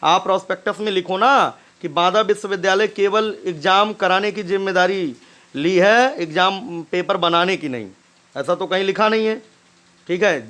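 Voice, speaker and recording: High-pitched man giving insistent commentary. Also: fairly bright tone. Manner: insistent, admonishing, repeats check-in tags. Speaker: host commenting on a recorded phone call. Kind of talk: informal explanatory commentary.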